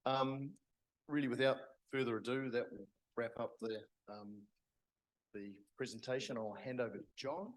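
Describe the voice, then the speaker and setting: A man's voice: mid-range voice, rough recording. A man hosting a lecture, wrapping up with upbeat thanks. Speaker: event host. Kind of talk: wrapping up an event. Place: parish hall.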